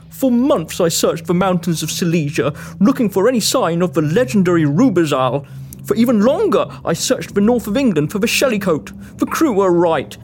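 Woman as a despondent, low-voiced timid gentleman, noisy recording. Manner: hesitant, pleading. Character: despondent, timid male monster hunter, apologetic and defeated. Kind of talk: comic period audio drama.

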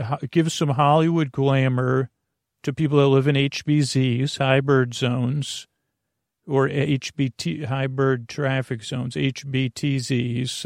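Creaky-voiced man rambling gently off track. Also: medium pitch, no room sound, clean studio sound. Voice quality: creaky, gravelly. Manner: meandering, halting, repeats and trails off; self-deprecating. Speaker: podcast host. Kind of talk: rambling bedtime sleep-podcast monologue.

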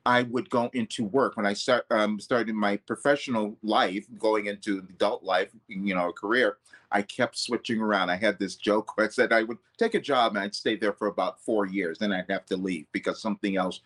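A man's voice: lowish voice, steady tone, moderate pace, fairly bright tone. A man, a vocal coach, talking earnestly in an interview, often correcting himself mid-sentence. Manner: earnest, loose, self-correcting. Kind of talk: reflective interview talk.

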